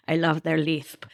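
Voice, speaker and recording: A woman's voice: sits low, a little mellow, no room sound, clean studio sound. A woman from Argentina, a life coach hosting her own podcast, teaching in a playful, affectionate way. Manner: playful, affectionate, laughs often.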